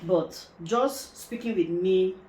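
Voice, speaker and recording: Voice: mid-range voice, slow, a little mellow, a little hiss or hum. A host from Cameroon asking follow-up questions and affirming the guest in an interview. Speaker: talk show host. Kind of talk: interview conversation. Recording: echoey room.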